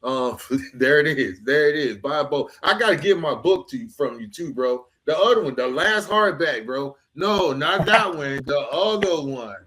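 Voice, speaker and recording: A man's voice: fairly high pitch, expressive, normal speed, hardly any pauses, a bit bright. A man hosting a podcast, talking casually and earnestly, often dropping in "man" and "bro" as he goes. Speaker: podcast host.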